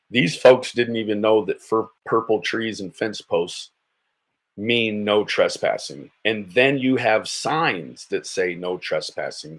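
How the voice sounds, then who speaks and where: A man's voice: lowish voice, a bit bright. An American man hosting a casual livestream, giving blunt, mocking, sweary reaction commentary. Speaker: host of a livestream commentary show.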